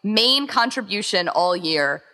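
Casting: woman, interview guest and organizer, bright crisp-edged tone, average pitch, talkative and informal, echoing recording. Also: expressive, unhurried. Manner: chatty, rambling, quotes imagined remarks. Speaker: interviewed co-founder and organizer of a fan audio exchange. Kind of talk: casual interview chat. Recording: long echoing reverb.

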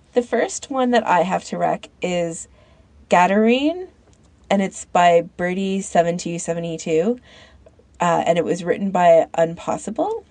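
A woman on a podcast panel chatting casually in an informal, halting way, as if thinking aloud. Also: medium pitch, vocal fry, dark tone, dry recording. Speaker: podcast panellist recommending fan audio fiction. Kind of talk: casual chat.

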